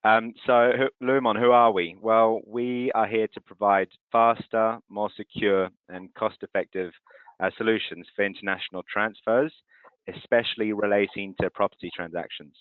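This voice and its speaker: A man from France, presenting for a currency transfer firm in a webinar and running audience polls in a clear, steady way. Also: on the low side, moderate pace, no room sound. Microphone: video call. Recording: tinny phone-like call audio.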